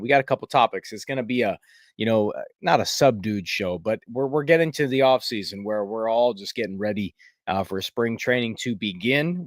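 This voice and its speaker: A man's voice: on the low side, dry recording, clean studio sound. A man with a stuffy, congested voice hosting a casual live sports chat in a rambling, filler-heavy way. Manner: rambling, chatty, many fillers. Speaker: podcast host.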